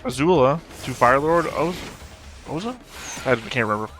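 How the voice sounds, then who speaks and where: Guy doing a casual reaction vid, dry nitpicky comments, medium pitch, bit of show music behind. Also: lively intonation, moderate pace, fairly bright tone. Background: soundtrack of the show being watched.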